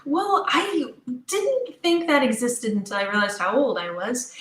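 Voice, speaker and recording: A woman talking as an interview guest about her creative work, enthusiastic, animated and emphatic. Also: high voice, unhurried. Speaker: guest, audio drama creator. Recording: roomy, echoing sound.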